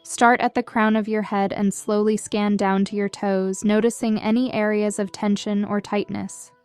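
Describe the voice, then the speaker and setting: A woman narrating a scripted guided meditation in a soothing, measured and gentle manner. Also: mid-range voice, little pitch movement, clear, resonant tone. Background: background music.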